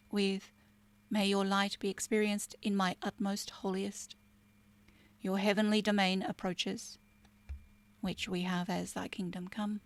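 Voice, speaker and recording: A woman spiritual teacher giving an informal talk, chatty with fillers and restarts, turning soft and hushed when she reads prayer lines. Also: mid-range voice.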